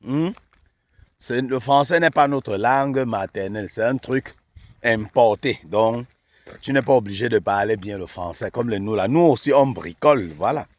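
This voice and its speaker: A man's voice: mid-range voice, moderate pace, dry recording. A man commenting casually for his audience, laughing often and asking them questions. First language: not French. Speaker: online news commentator. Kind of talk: casual commentary on a played clip.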